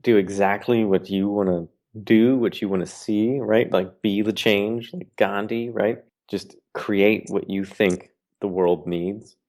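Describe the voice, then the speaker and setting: Man, very low voice, earnest, philosophical, podcast guest, casual conversation. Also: steady tone, measured pace, hardly any pauses, a little mellow. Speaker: guest artist.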